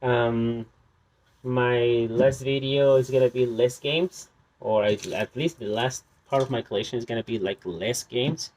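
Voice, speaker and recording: Man, Spanish native speaker, casual collection vlog, hesitant with fillers, mid-pitched. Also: a little slow, a little mellow, poor audio. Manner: hesitant, many fillers and restarts. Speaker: channel host.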